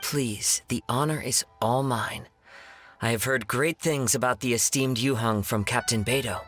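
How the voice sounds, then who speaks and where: A man voicing a serene, courteous young wandering swordsman, soft-spoken and polite, in an anime game dub. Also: medium pitch, measured pace, soft background music, close to an everyday voice.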